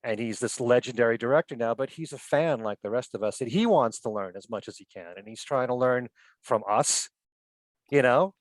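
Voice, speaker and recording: A male podcast host speaking at a middle pitch with an easy, friendly storytelling manner. The recording is dry, with no room sound around his voice. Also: expressive, a little fast, no echo. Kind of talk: casual interview chat. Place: lives in Connecticut.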